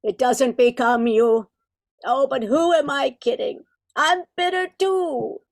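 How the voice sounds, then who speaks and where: A high, slow-spoken female Christmas carol personified, acted by a woman in old-time radio comedy style. She is prim and wistful. She frets and laments the old days in a genteel manner. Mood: bitter, nostalgic.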